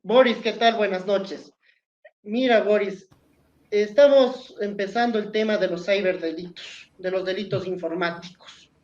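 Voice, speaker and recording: An Ecuadorian man from Quito gives a lecture on law over a video call, earnest and explanatory, with the occasional restart. His voice sits at a middle pitch and carries a noticeable room echo. The sound is a bit dull and muffled. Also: unhurried. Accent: Ecuadorian Spanish, Quito. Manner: earnest, explanatory, with frequent hesitations. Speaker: lawyer, conference speaker. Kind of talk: virtual conference lecture. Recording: echoey, somewhat dull video call audio.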